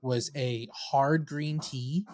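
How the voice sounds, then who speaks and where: A man's voice: mid-range voice, steady tone, very slow, darkish tone, no echo, clean studio sound. A man, a guest band member, telling rambling self-deprecating stories in a casual podcast chat.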